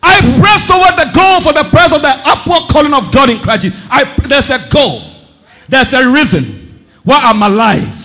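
A man preaching a sermon as pastor, impassioned, swinging between shouted declarations and low asides. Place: church service.

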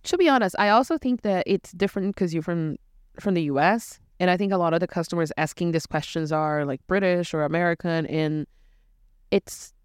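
A woman from Brazil, co-hosting a casual chat about bartending, animated and quick to act out customers' lines. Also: fairly low pitch, smooth, no room sound, studio quality. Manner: animated, acts out customers' questions with laughs. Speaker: podcast co-host, bartender.

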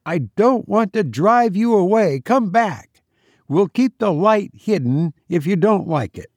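A man's voice: high voice, lively intonation, a little slow, dry recording, pitched above the performer's own voice. A man voicing a bold, practical sailor who speaks bluntly and colloquially, calling out in an audiobook. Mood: urgent, reassuring. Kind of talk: audiobook character acting.

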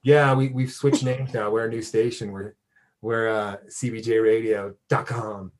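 A man's voice: lowish voice, flat delivery, measured pace, darkish tone. A man with an American accent hosting a casual chat interview, talking informally and often interrupting himself as he goes. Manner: informal, rambling, self-interrupting. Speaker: podcast host and radio DJ.

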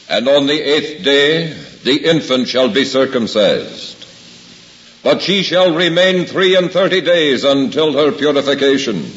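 An actor voicing solemn scripture as God and prophet in a 1950s radio drama, declaiming in archaic words. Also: male voice, on the high side, a bit bright. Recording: long reverb, dull old radio recording.

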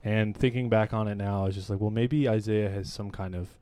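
A young man with a fairly low, mellow voice tells stories in a loose, profane podcast chat. The sound is dry and close-miked, with no room echo. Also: fairly quick, smooth. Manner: casual, profane, animated storytelling with laughs. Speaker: podcast co-host. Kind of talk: casual chat.

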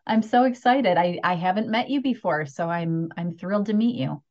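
A woman's voice: on the low side, normal speed, a little mellow, dull audio. A woman hosting an interview podcast, enthusiastic and encouraging as she asks questions. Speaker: podcast host.

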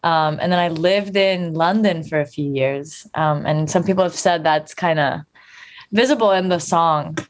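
A woman, a singer answering interview questions in a friendly, relaxed way. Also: low voice, moderate pace. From Turkey. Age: 20s. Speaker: musician guest.

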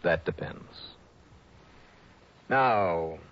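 An actor playing a wry, tough insurance investigator who is dry, blunt and wisecracking, in a 1960s radio drama. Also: male voice, low-pitched, animated, extremely slow, dull audio, full character voice.

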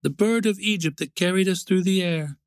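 A man voicing a wistful, gentle female nature spirit in a storybook, with an earnest, yearning, poetic manner. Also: high-pitched, fairly quick, dry recording, studio quality, voice pushed up.

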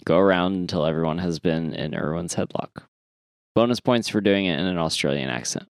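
A man co-hosting a podcast in casual comic chat, sometimes sweary and halting as he thinks aloud. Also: deep voice, clean tone, dry recording, studio quality. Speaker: podcast co-host. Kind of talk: casual comic chat, reading listener emails.

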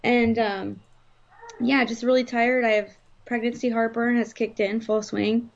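A woman co-hosting a live stream in casual chat, her voice hoarse, rambling with lots of fillers. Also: fairly high pitch, flat delivery, measured pace, highs cut off. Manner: chatty, rambling, frequent fillers. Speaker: podcast co-host.

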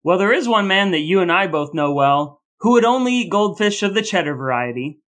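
A man's voice: high-pitched, lively intonation, fairly quick, a bit bright. A man co-hosting a podcast, moving between casual chat and playful, mock-grand announcements. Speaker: podcast co-host.

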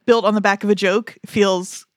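Woman, 30s, podcast co-host; casual, teasing, mid pitch, dry studio mic. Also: steady tone, normal speed, no echo. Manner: chatty, playful, laughs often. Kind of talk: casual chat.

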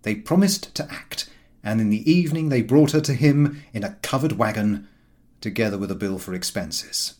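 A man reading a comic novel as scripted narration, in an expressive, theatrical storytelling manner. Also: on the low side, normal speed. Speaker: audiobook reader.

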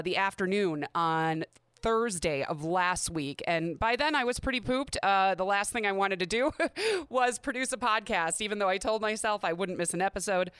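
A woman's voice: mid-range voice, fairly bright tone, clear, resonant tone, dry recording. A woman, hosting a podcast in a chatty, candid, self-deprecating way. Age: 30s. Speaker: podcast host. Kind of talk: casual solo podcast talk.

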